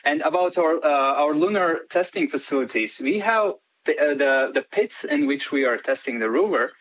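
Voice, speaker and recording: A man's voice: on the high side, lively intonation, normal speed, dry recording, highs cut off. A man from Latvia, a PhD student guest on a radio interview, talking hesitantly with many fillers and restarts.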